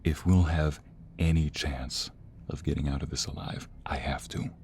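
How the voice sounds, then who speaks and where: Casting: man to voice protective mob lover for audio roleplay, deep and deliberate, emotionally earnest, faint noise behind. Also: steady tone, darkish tone. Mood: grim, tender. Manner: earnest and emotional, dramatic pauses. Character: protective, defiant mobster on the run, devoted lover. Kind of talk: audio roleplay romance acting.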